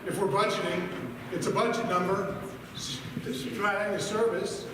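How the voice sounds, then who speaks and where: A man's voice: high-pitched, steady tone, normal speed. A man speaking as a resident at a school board meeting, argumentative and pressing the board with questions. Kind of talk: public comment. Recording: echoey hall from far off, noisy.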